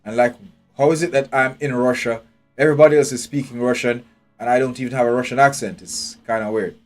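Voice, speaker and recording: A man's voice: medium pitch, fairly even intonation, fast. A man streaming a game live, thinking aloud in a blunt, profane way and nitpicking every detail. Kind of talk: live gameplay commentary. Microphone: headphones with a desk mic. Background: faint game music.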